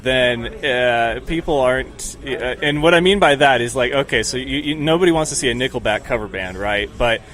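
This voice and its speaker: Male host, casual interviewer, mid-pitched, chatty, quick to laugh. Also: fairly bright tone. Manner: laughs often, casual, filler-heavy. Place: pizzeria comedy venue.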